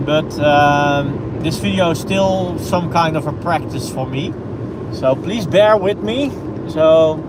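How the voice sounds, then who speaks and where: A man with a Dutch accent who hosts a driving channel, hesitantly narrating a live-streamed drive from inside his car with many fillers and restarts. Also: on the high side. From Netherlands. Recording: loud car road noise.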